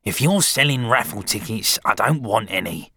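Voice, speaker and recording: A man's voice: lowish voice, lively intonation, moderate pace, vocal fry, bright, forceful tone, breathy edge, dry recording, studio quality, voice pushed up, exaggerated voice. A man voicing a rude, curt middle-aged father in an audiobook, brusque and dismissive. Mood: suspicious.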